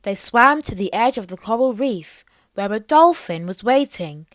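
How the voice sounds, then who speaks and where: Animated, high-voiced woman storyteller. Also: moderate pace, no echo. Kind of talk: expressive children's picture book read-aloud.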